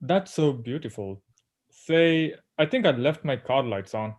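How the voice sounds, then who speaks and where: Male actor as uneasy secret agent, thirties, expressive lively swings, polite, table-read drama. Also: mid-range voice, a little slow, natural voice. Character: uneasy thirty-something male agent, torn between duty and friend.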